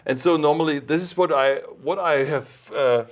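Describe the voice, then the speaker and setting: A man giving an informal technical explanation as an expert, in halting, piecemeal phrases. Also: a little high.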